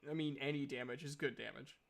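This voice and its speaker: A man's voice: medium pitch, steady tone, quick pace, vocal fry. A man acting as game master, casually narrating a tabletop game and explaining rules.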